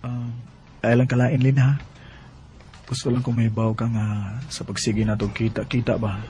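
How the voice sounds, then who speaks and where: A man's voice: mid-range voice, little pitch movement, normal speed, mellow, faint music behind, lightly acted. Actor playing smitten Cebuano man in radio drama. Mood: earnest, wooing. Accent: Cebuano Filipino. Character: Edwin, smitten working man with a wandering heart. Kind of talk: Bisaya radio drama acting.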